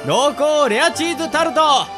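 A man's voice: extremely high pitch, moderate pace, over music. A man playing a listless, whiny young cake seller in a radio drama. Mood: polite, selling. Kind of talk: amateur Japanese radio drama acting.

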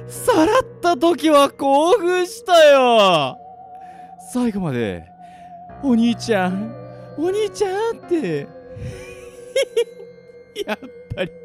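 A man's voice: very high-pitched, big pitch swings, slow pace, pauses often, soft background music, heavily acted. A man playing a gleeful, sadistic killer in an audio drama, with a taunting, giggly, sing-song drawl. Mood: creepy glee. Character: gleeful sadistic male killer, mocking and creepy. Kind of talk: anime-like audio drama acting.

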